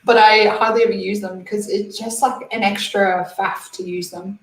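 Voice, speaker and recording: A woman's voice: medium pitch, fairly even intonation, normal speed. Chatty young woman, roomy distant mic. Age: 20s. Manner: chatty, giggly, reads comments aloud. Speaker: beauty channel host. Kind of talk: casual livestream chat reading viewer comments.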